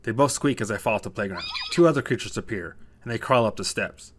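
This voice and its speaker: A man's voice: fairly low pitch, expressive, fast, slight background noise. A man narrating a scripted short story as a storyteller.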